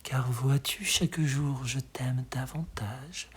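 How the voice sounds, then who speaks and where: Slow, breathy, hushed poetry reader. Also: medium pitch. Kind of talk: slow expressive poetry recitation.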